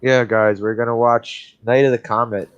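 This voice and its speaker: A man hosting a casual watch party stream, easygoing and quick to laugh. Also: medium pitch, steady tone, clean tone, muffled recording. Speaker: host. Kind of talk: casual chat.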